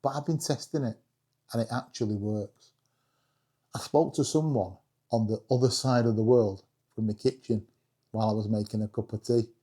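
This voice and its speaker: A British man with a husky voice giving a casual, chatty hobby review with self-deprecating humour. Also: on the low side, steady tone, moderate pace, pauses often, warm tone. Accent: British English. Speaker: video creator reviewing radios.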